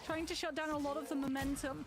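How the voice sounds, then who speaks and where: A woman's voice: high-pitched, level tone, quick pace, over music. A woman giving live color commentary on an esports match, steadily breaking down the plays.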